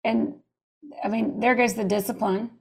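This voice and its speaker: A woman's voice: fairly high pitch, fairly even intonation, moderate pace, vocal fry, rough recording. A woman hosting a podcast interview, asking chatty, enthusiastic questions over a video call. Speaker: podcast host. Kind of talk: casual interview.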